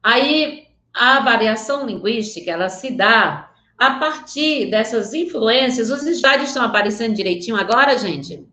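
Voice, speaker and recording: Woman w/ northeastern brazilian accent teaching online, higher voice, kinda echoey room, keeps checking students follow. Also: expressive, moderate pace, pushed and bright. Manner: earnest, checks in with students often. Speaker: Portuguese teacher. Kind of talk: online class lecture with slides.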